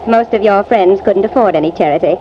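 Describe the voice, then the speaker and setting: An actress playing an ambitious, domineering young society wife in a breezy, insistent, theatrical 1950s American radio drama style. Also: female voice, medium pitch, a little fast, natural voice. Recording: muffled, noisy vintage radio recording.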